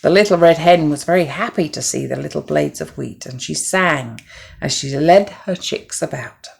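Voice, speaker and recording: Low, creaky-voiced woman reading a children's story. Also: normal speed. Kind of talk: scripted children's story narration.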